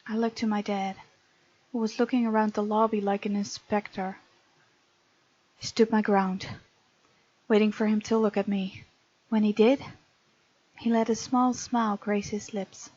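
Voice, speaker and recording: A woman's voice: mid-range voice, level tone, a little slow, long pauses, darkish tone, lo-fi sound. A woman hosting a gaming video, expressively reading game text aloud with casual, playful commentary.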